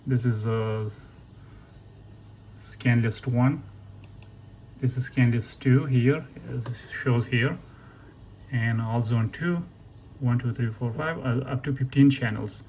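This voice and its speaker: A man giving a casual, unscripted software walkthrough in a halting way, thinking aloud and correcting himself. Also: medium pitch, steady tone. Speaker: tutorial presenter. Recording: noisy room with keyboard typing. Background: computer keyboard clicks, ambient noise.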